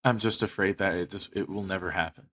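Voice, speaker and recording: A man's voice: sits low, fast talker, fairly bright tone. A man of thirty speaking earnestly and with heavy swearing in a personal monologue to his online audience. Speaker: online video creator making comic dubs and skits.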